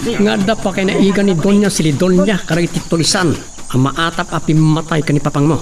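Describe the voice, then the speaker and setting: A man playing a gravelly, nervous manservant. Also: high-pitched, fairly even intonation, brisk, distant mic, crowd noise. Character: scheming male servant to the young master.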